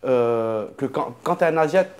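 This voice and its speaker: A man's voice: mid-range voice, lively intonation, unhurried, darkish tone. A young adult man, a comedian guest, talking in a casual conversation and weighing his words carefully.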